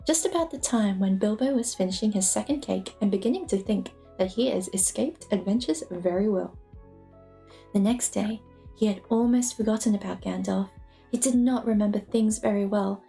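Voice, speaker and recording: A woman's voice: fairly high pitch, mellow. A woman streamer reading a storybook aloud as a dramatic narrator. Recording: music playing behind the voice.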